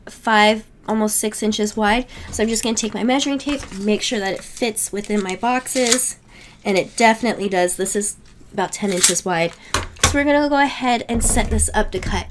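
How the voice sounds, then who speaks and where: A woman's voice: on the high side, normal speed, noisy background. A woman with a weak voice from getting over a cold, chatting casually through a step-by-step crafting tutorial with frequent fillers.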